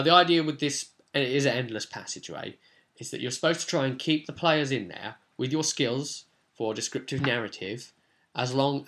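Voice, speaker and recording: A man's voice: mid-range voice, a bit bright. A man speaking as a game master, talking through a tabletop role-playing session in a rambling, conversational way with bursts of emphasis. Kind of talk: informal vlog recap and advice on a tabletop role-playing session.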